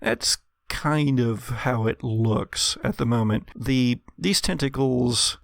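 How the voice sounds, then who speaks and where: Male game master, measured and dramatic horror narration, gravelly edge, unhurried pace. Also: medium pitch, no echo, clean studio sound. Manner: dramatic, deliberate storytelling in second person.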